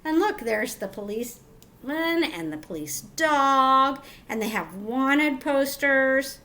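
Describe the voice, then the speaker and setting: Woman, teacher, children's read-aloud, high voice, animated intonation, measured delivery, instructive. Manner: expressive, instructive, addressing young children. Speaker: teacher reading aloud to pupils. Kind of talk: picture-book read-aloud with questions to children.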